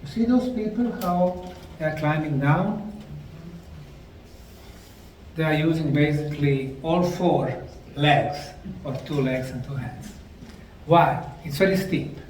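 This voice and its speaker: A man with a Bosnian accent giving a deliberate, emphatic lecture to a live audience. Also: fairly high pitch, darkish tone. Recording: echoey room, mic far off, faint noise.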